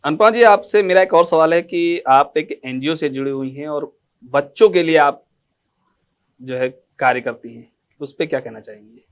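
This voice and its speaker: Male interviewer, slightly high voice. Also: moderate pace, smooth, lo-fi sound. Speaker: news interviewer, host. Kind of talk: formal news interview presenting.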